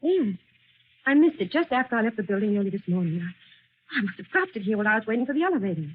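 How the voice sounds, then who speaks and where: An actress playing a polite, earnest and puzzled young office worker in an old-time radio mystery, speaking a little hesitantly. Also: female voice, mid-range voice, big pitch swings, quick pace, slightly rough. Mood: alarmed, insistent. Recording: tinny, noisy vintage radio recording.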